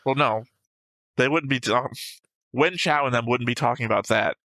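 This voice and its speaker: A man's voice: medium pitch, moderate pace, pushed and bright, no room sound. A man co-hosting a reaction show, chatting casually in a blunt, argumentative, sweary way. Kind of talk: casual discussion of an anime episode.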